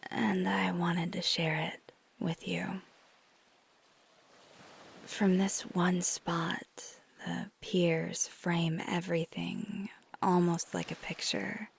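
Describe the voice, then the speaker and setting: A woman playing a flirty, tender vampire in an intimate ASMR roleplay, speaking softly and gently, mostly in a breathy whisper. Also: fairly low pitch, level tone, full character voice. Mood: soft wonder. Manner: slow, gentle, hesitant, teasing.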